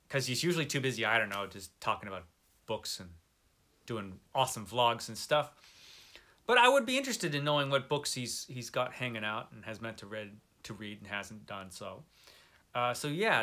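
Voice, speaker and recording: A man's voice: mid-range voice, pushed and bright. A man hosting a book vlog in casual, unscripted chat, informal and self-deprecating, drawing out words for emphasis. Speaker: book vlogger, channel host.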